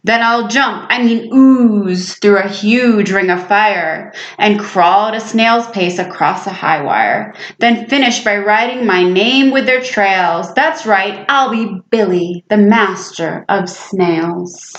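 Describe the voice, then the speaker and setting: A woman voicing an excitable, boastful schoolboy with big dreams in a storybook, eager and grandiose as if making a speech. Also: medium pitch, slow pace, natural voice. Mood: eager, excited. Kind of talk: storybook read-aloud. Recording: echoey room, slightly muffled sound.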